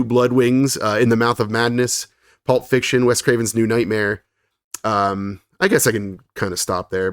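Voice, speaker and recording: A man's voice: lowish voice, a bit bright, clear, resonant tone, no room sound, clean studio sound. A man co-hosting a podcast in casual chat, reading long lists of credits with frequent laughs and fillers. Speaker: podcast co-host.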